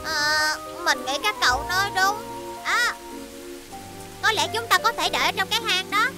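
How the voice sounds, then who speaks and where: A woman's voice: very high-pitched, moderate pace. A woman voicing a bold, stubborn little boy truck in a dubbed children's cartoon, urging and exclaiming childishly. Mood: uneasy but stubborn. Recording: loud music behind the voice.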